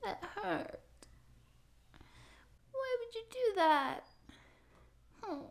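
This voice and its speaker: A young woman acting a naive, pouty stray catgirl in a soft, halting ASMR roleplay voice. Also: extremely high pitch, lively intonation, creaky, full character voice. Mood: pouty, hurt. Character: naive, tearful stray catgirl would-be space pirate. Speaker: ASMR voice actor. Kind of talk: ASMR roleplay audio drama.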